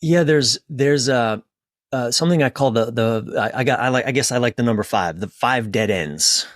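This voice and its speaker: A man's voice: mid-range voice, normal speed, no echo. Casual male coach in a dry-sounding interview. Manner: informal, self-interrupting, repeats phrases for emphasis. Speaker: relationship coach, interview guest.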